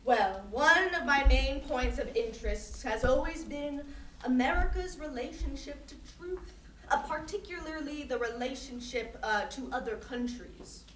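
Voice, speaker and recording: In an echoey room, a woman plays a thoughtful visiting artist from China giving a critical talk on stage. Her voice is high and she speaks slowly and deliberately, picked up from far off. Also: a bit bright, slight background noise. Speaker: interviewed artist. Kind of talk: staged play reading, mock artist talk.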